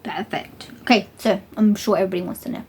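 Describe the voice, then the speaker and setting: A woman's voice: medium pitch, fast talker, a little hiss or hum. A woman of Somali family asking questions from behind the camera in a casual home Q&A, in a playful, teasing way.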